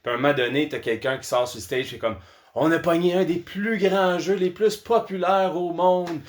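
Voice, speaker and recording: A man's voice: on the high side, moderate pace. A man with a Quebec French accent hosting a casual gaming chat in an informal, slangy way. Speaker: podcast host.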